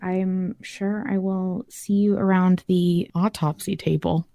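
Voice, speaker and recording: A woman hosts a fan podcast with a relaxed, mellow voice that sits a little low. She sounds encouraging and appreciative. The recording is close and dry. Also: slow, gravelly, dry recording. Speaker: podcast host. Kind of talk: casual fan podcast chat and scripted outro.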